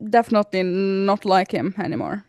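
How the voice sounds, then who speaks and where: A woman's voice: medium pitch, lively intonation, brisk, a little mellow, clean tone, no room sound, clean studio sound. Giggly woman chatting. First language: Norwegian. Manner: giggly, playful. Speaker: podcast co-host. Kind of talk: casual chat.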